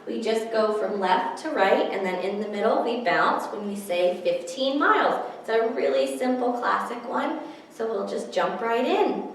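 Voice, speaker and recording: The voice rings in a very echoey room, a little far from the microphone. It is a high-pitched woman leading story time for small children. She explains rhymes in simple, encouraging, sing-song phrases. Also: moderate pace, a little hiss or hum. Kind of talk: nursery rhyme instruction for young children, with singing.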